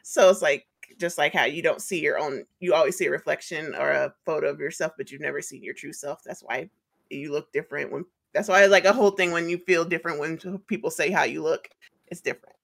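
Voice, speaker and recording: A talkative woman co-hosting a podcast, with a slight rasp that comes and goes and a pitch that climbs when she gets excited. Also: fairly quick. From partly the US Midwest and Atlanta. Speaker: podcast co-host and streamer. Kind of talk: casual podcast chat.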